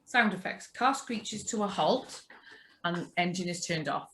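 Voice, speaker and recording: A woman's voice: lowish voice, lively intonation, measured pace, a bit bright, poor audio. A woman reading out scripted sound-effect directions during a live play reading on a video call.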